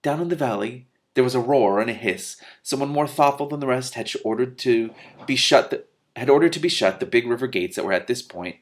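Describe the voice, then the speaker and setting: A man's voice: medium pitch, a little fast. A man reading a novel aloud as host, acting out dialogue expressively and adding casual asides.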